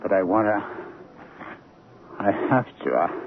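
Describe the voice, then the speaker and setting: An actor playing a shifty, self-pitying husband back from a faked death, halting and pleading, in an old-time radio drama. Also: male voice, low voice, expressive. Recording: narrow, noisy old radio recording.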